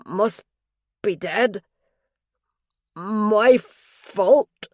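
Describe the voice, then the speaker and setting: A woman's voice: mid-range voice, extremely slow, darkish tone, no echo, pitched above the performer's own voice. A woman narrator voicing a confused, dying elderly father who speaks in weak, broken phrases. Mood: anguished.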